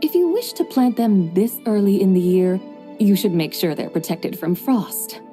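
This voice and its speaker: Casting note: a woman as a stern immortal horse goddess, mellow and dark-toned, lofty and formal, with faint music behind. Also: mid-range voice, big pitch swings, moderate pace, natural voice. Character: proud ancient female horse spirit, stern goddess of farming. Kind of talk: video game voice acting. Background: game soundtrack music.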